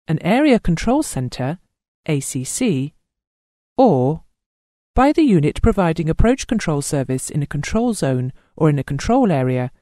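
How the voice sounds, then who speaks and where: Instructional narrator, mid-pitched with wide intonation, formal and measured, for scripted training modules. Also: dark tone, no room sound, studio quality.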